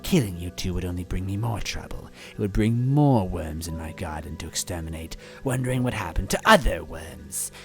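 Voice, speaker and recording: A woman's voice: very deep voice, animated, normal speed, hardly any pauses, soft background music. A woman voicing a hideous, gleefully cruel bean witch in a storybook reading, taunting with a theatrical sneer. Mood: hissing menace.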